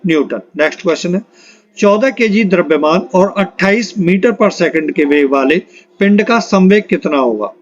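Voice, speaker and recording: A man with a high-pitched voice works as an exam-prep teacher on a dull, lo-fi recording. He reads out multiple-choice questions and their options in an instructional manner, then states which one is correct. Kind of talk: quiz lesson, reading questions and options aloud.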